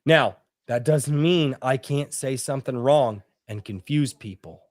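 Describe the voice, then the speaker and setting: A man's voice: mid-range voice, no room sound. Guy ranting on his podcast, swears a lot, drags out words to make a point, dry close mic. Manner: emphatic, swears, slows down to stress points. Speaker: host. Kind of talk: heated podcast discussion.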